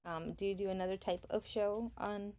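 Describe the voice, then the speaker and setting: A woman's voice: medium pitch, fairly even intonation, moderate pace. Chatty woman selling stickers live. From Chile. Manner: chatty, rambling, thanks buyers warmly. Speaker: live seller. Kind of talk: casual livestream chat. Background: crinkling sticker packs.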